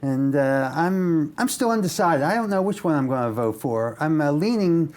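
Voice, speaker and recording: A man from the United States speaking as a guest on a news panel, in a slightly high voice. He hesitates a great deal and pads his lines with fillers, then drops in dry quips. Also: expressive, normal speed, a little mellow. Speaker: studio guest. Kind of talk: casual interview chat.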